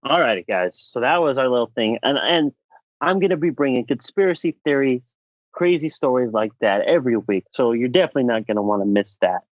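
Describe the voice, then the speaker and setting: A man's voice: medium pitch, animated, brisk, no room sound, muffled recording. A man hosting a casual podcast, bantering with playful, mock-outraged showmanship. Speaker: podcast host.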